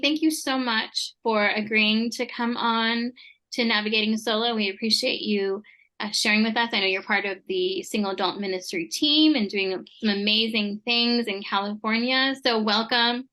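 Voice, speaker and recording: A woman's voice: medium pitch, pushed and bright. A woman hosting a podcast interview, encouraging and affirming toward her guest. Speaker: podcast host.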